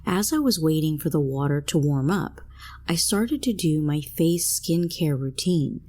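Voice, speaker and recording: A woman with a deep, husky voice narrates a creepy tale in the first person. Her delivery is that of a storyteller reading a prepared script. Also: lively intonation, unhurried, darkish tone. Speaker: narrator of listener-submitted scary stories.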